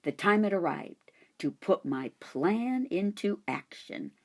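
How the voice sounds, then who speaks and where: A woman reading a children's chapter book aloud in an expressive storytelling manner. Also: medium pitch, slow pace. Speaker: audiobook reader. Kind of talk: children's audiobook narration.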